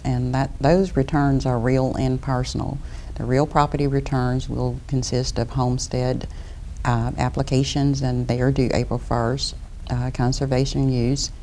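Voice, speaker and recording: A woman's voice: very deep voice, fairly even intonation, normal speed, warm tone, slight background noise, low-quality recording. A middle-aged woman from Florida, a property appraiser explaining her work in a studio interview.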